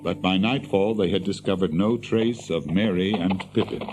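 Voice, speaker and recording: A man's voice: sits low, normal speed, noisy background. A man narrating a fantasy tale as dramatic, scripted storybook narration.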